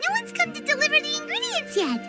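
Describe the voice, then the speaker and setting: A woman voicing a tiny, excitable fairy girl companion in an anime game, with a squeaky childlike voice. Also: quick pace, full character voice. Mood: curious. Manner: excitable, speaks of herself by name. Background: music.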